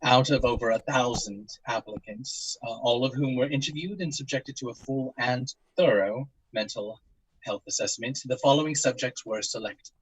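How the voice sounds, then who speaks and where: A man performs a careful academic psychologist in an audio drama, delivering a formal statement. The voice is mid-pitched and husky, recorded very dry, with hesitant pauses as he picks scholarly wording. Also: no echo, close to an everyday voice, poor audio. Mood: measured, hesitant. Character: Doctor Porter, measured academic psychologist giving a formal statement. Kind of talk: audio drama monologue, testimony.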